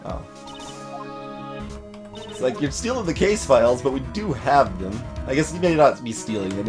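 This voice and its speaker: A man hosting a let's play, giving casual, off-the-cuff commentary on a video game. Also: medium pitch. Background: faint game music.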